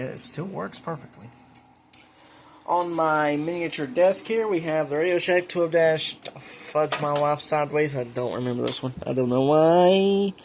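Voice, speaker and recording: A man hosting a casual home show-and-tell video, speaking informally and a little haltingly with enthusiastic asides. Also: fairly high pitch, background noise. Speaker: hobbyist video creator and host. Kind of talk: casual show-and-tell narration of a radio collection. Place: indoors at home.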